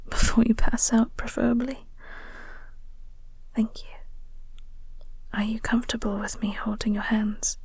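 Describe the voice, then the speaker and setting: A woman playing a flirty, caring coworker in an intimate audio roleplay, speaking softly and gently in a breathy, reassuring way. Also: fairly high pitch, dry recording, full character voice. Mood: concerned. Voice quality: soft, breathy, whispery.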